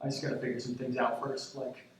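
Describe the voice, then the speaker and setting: A man in his twenties playing an anxious grandson in a stage monologue, talking in a halting, conversational, self-deprecating way. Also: medium pitch, flat delivery, lightly acted. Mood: fond, wistful. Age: 20s. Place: stage in a theater, recorded from the audience. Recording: echoey theater, distant mic, faint noise.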